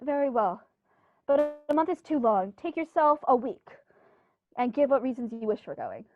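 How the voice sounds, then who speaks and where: A woman's voice: high-pitched, big pitch swings, moderate pace, music in the background. A woman playing an upper-class male country squire in a 1930s-style radio play, speaking with theatrical, genteel emphasis. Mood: indignant. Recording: dull, muffled recording.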